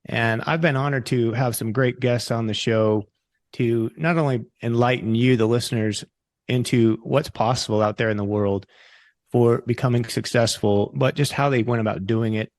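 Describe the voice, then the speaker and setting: A man's voice: mid-range voice, steady tone, moderate pace, no echo. A man hosting an interview podcast, with a mid-range voice and a dry, close sound. He introduces his guest in a loose, folksy way that wanders a little. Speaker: podcast host.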